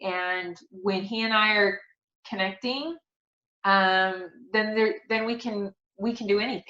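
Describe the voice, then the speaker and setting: A woman's voice: mid-range voice, unhurried, sharp tone. Middle-aged female guest, sincere and faith-minded storyteller in a casual interview; dull, echoey recording. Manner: earnest, devout, personal. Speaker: interview guest, author and speaker. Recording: dull, roomy sound.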